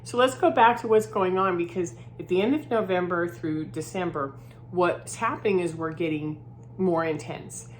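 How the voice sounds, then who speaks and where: Woman, head cold, groggy, lowish voice, chatty vlog, lots of fillers. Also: moderate pace, slight background noise. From Southern California. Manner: chatty, filler-heavy, often adds "right?". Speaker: vlogger. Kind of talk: casual spoken vlog. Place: her new home, indoors.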